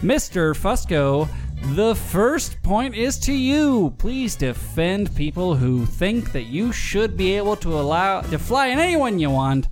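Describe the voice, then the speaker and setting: A man putting on the voice of a brash male game show host, announcing with loud, teasing showman patter. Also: high-pitched, sing-song, unhurried, soft background music, voice pushed up. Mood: showy.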